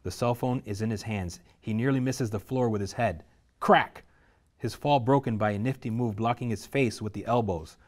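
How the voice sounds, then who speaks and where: A man reading a screenplay's stage directions aloud at a table reading, steady and vivid. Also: fairly low pitch, moderate pace.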